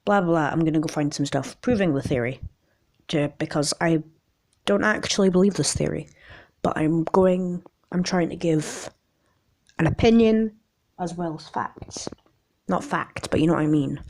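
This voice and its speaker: Raspy, low-pitched woman rambling casually. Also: normal speed, darkish tone. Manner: self-deprecating, jokey, stumbles over reading. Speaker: amateur video maker. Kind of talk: casual rambling vlog with articles read aloud.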